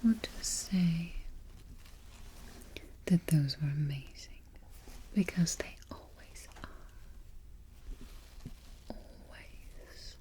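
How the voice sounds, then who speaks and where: A woman playing a haughty evil queen in a soft-spoken ASMR roleplay, breathy and whispery, giving petty orders with imperious, mock-sweet deliberation. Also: fairly low pitch, a little hiss or hum. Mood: haughty, self-satisfied. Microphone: close ASMR microphone.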